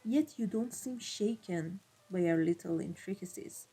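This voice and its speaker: A woman playing a cold, commanding vampire mafia boss in an ASMR roleplay, speaking in a deliberate, imperious way. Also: on the low side, dark tone, husky, rough recording. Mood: intrigued, probing. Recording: music behind the voice. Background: classical background music.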